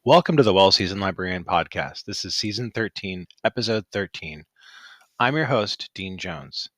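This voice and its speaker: A man's voice: low voice, expressive, normal speed, no echo. A man in his sixties, the podcast host, reading a scripted, upbeat show intro. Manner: upbeat, punchy delivery. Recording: very dry close recording.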